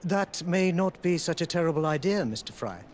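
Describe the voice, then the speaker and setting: A man playing a proud, dignified Indian maharaja in a video game, speaking formally and courteously with measured wry poise. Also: fairly high pitch, lively intonation, slight background noise, lightly acted. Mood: earnest, formal. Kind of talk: video game cutscene acting.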